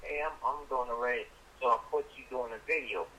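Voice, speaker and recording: A man's voice: medium pitch, brisk, pushed and bright. A middle-aged American man on a casual phone call, talking in a slangy, streetwise, rambling way and repeating himself. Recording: noisy phone call over speaker.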